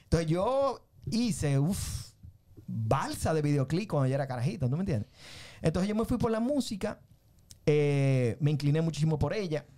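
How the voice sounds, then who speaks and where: A middle-aged man with a Dominican accent, a filmmaker and musician telling his story as a guest, animated and often checking that he is understood. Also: fairly high pitch, moderate pace. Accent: Dominican Spanish. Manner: animated, tag questions, repeats himself. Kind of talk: casual interview storytelling.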